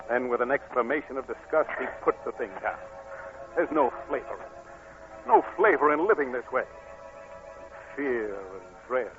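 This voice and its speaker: A man narrating a scripted 1940s radio drama in a theatrical, deliberate manner. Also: mid-range voice, a bit bright. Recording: dull 1940s radio recording with music.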